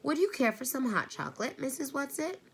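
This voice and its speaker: Calm storybook mom, woman reading, low voice, brisk and light.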